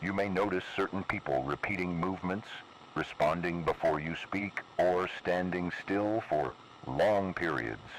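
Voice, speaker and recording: An extremely low-voiced, ominous announcer acted by a man reads an analog horror emergency warning. The delivery is grave, deliberate and cryptic, over a lo-fi radio broadcast with faint noise.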